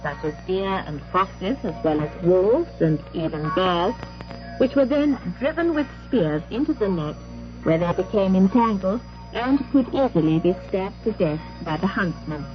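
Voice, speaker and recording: A woman's voice: mid-range voice, lively intonation, measured pace, lo-fi sound, over music. A woman reading a scripted program as a 1960s shortwave radio announcer, speaking formally with careful enunciation.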